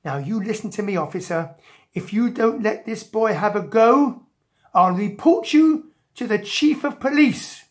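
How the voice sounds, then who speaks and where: A woman's voice: medium pitch, lively intonation, unhurried, close to an everyday voice. A woman voicing a distraught, indignant mother in a children's story, dramatic and insistent.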